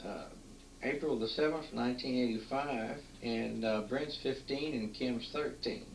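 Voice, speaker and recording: A man, casually narrating old family home movies at home with many halting pauses and restarts. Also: mid-range voice, gravelly. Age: 40s. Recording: roomy, distant, faint hiss, 1980s home tape.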